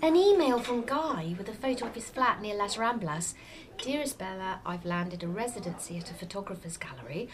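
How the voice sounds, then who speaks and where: A woman's voice: mid-range voice, animated, fairly quick, crowd noise, lightly acted. An actress playing a romantic, bookish woman of forty-five who talks with breezy enthusiasm. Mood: earnest. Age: 40s. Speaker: friend at lunch. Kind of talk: scripted radio drama dialogue.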